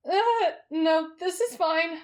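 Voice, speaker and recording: A woman voicing a gentle, tactful young companion in an anime fan roleplay, polite and coaxing. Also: extremely high pitch, slow, background noise.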